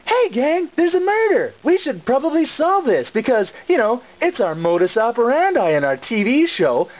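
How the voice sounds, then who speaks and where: A man voicing an excitable cartoon mystery-gang puppet in an exclamatory way. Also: very high voice, normal speed, dull audio, voice pushed up. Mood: eager.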